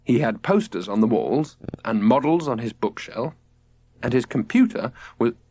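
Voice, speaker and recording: Expressive male children's story narrator, dry studio sound. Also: mid-range voice, normal speed, slightly rough, no echo, rough recording. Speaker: audiobook narrator.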